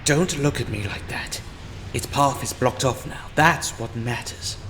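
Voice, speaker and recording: Man acting a slow, sinister, theatrical storyteller in reverb. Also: mid-range voice, noisy background, natural voice. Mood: urgent, reassuring. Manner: theatrical, drawn-out, florid. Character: sinister, grandiose male storyteller who relishes dark tales. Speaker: narrator and host of an anthology audio series. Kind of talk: theatrical audio drama storytelling. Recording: long hall reverb under loud music.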